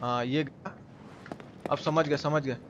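A man's voice: a little high, steady tone, measured pace, lo-fi sound, noisy background. A man with a Bihari accent giving casual, slangy gameplay commentary as a live streamer, cursing and repeating himself as he chats with viewers. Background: game music.

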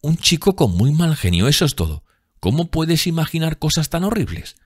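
Hombre haciendo de joven enamorada, aguda y soñadora. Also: male voice, a little high, very animated, normal speed, husky, dry recording, studio quality, pitched above the performer's own voice. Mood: scolding, affectionate. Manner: musical, exclamatory, gushing. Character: giddy, lovestruck young woman, a dreamy actress.

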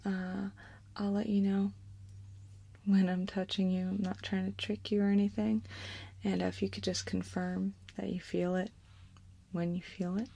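A woman in her thirties doing a soothing, gentle ASMR role play close to the microphone, guiding the listener step by step. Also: mid-range voice, little pitch movement, measured pace, vocal fry, breathy edge. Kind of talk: ASMR, whispered and soft-spoken personal attention role play. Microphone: close microphone, facing camera.

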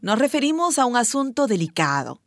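Lively, animated radio advice doctor. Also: female voice, on the high side, fairly quick, no echo. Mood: teasing, frank. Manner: chatty, teasing, emphatic. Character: frank, playful woman doctor hosting a sex-advice radio show. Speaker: radio advice show host. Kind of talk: radio drama advice programme.

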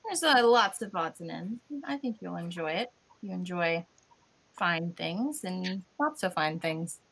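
A woman's voice: on the low side, animated, a little slow, gravelly, fairly bright tone, low-quality recording. A woman playing a tabletop game over a video call, speaking in character to the other players. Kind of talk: slow in-character game talk.

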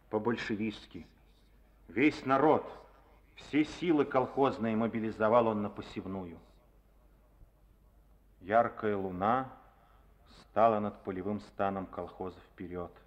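A man narrating a Soviet radio drama in a measured, solemn storytelling voice. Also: on the low side. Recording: dull old archival radio recording.

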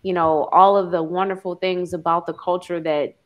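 A woman's voice: fairly low pitch, level tone, moderate pace, dull audio. A woman chatting on a video call panel, friendly with plenty of fillers. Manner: warm, chatty, many fillers. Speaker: panel guest.

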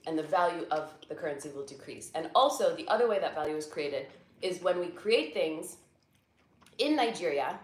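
American accent, young woman, low-ish voice, patient explainer, roomy echo. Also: lively intonation, moderate pace. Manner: explains simply and patiently, with fillers. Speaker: guest, venture capital investor. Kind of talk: casual chat. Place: living room indoors.

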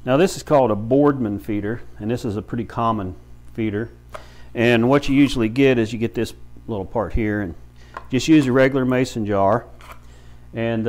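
A man in his fifties giving an informal how-to demonstration in a plain, folksy, halting way, as a practical instructor. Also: mid-range voice, darkish tone. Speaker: beekeeper and bee farm shop owner, instructor. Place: small brick-walled room with many windows, indoors.

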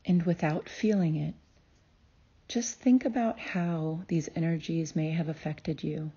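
A woman narrating a guided meditation in a calm, soothing way, with deliberate phrasing and long pauses. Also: sits low, husky, muffled recording. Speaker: guided meditation host and healer.

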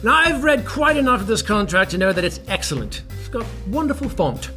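A man's voice: high-pitched, sing-song, a little fast, bright, forceful tone, faint music behind, full character voice. An actor playing a vain, blustering washed-up male pop star, pompous and dismissive, in a comedy podcast drama. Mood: scornful.

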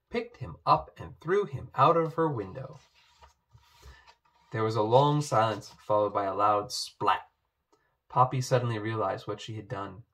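Man, storybook narrator, slow, lively pitch, bright voice. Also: poor audio. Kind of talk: children's book read-aloud.

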